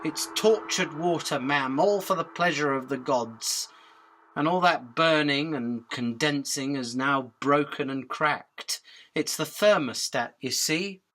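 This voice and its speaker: Insect doomsayer for radio play, female actor: sharp bright tone, theatrical, earnest and defiant, preaching with a sardonic edge. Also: deep voice, slow pace, slight background noise, rough recording. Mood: ominous. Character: tiny, earnest, defiant winged insect doom prophet. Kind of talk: theatrical radio drama acting.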